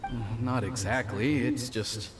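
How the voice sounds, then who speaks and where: An actor playing an anxious, dutiful clan officer in a video game, with a hesitant, apologetic manner. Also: male voice, medium pitch, unhurried, background noise, lightly acted. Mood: troubled, apologetic. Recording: game music underneath.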